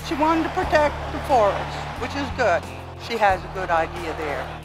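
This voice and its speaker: A woman's voice: medium pitch, sing-song, moderate pace, music in the background, rough recording. A local woman speaking bluntly and earnestly in an outdoor interview beside a street.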